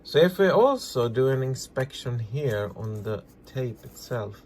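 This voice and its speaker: A man presenting a casual hands-on repair tutorial, talking through each step in halting, simple non-native English. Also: medium pitch, sing-song, darkish tone, a little hiss or hum. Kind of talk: casual hands-on demonstration, narrating as they work.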